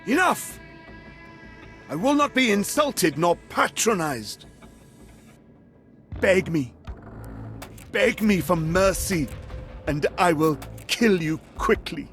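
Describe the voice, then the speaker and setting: A menacing Sith villain from a video game. He is proud and wrathful, with a slow, higher-set male voice that swings theatrically. Music plays behind him. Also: expressive, fairly bright tone, exaggerated voice. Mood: furious. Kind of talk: dramatic video game acting.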